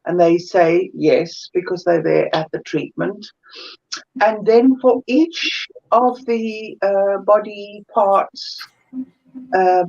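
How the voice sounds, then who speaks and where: A woman with a South African accent, a guest energy healer chatting thoughtfully in an interview and often pausing to find her words. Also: mid-range voice, darkish tone, muffled recording. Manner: thoughtful, searches for words, many fillers. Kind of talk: casual interview chat.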